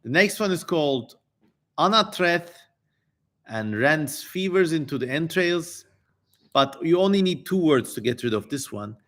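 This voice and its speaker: A man with a slightly high voice reads aloud at a slow pace. He delivers strange names and incantations theatrically, then drops into casual, irreverent commentary with some swearing. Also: lively intonation, a bit bright. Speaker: streamer reading an ancient text aloud.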